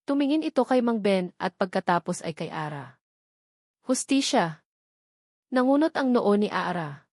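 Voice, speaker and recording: A woman's voice: medium pitch, animated, fairly quick, a little mellow, no room sound, studio quality. A woman reads a scripted romantic story as a dramatic narrator.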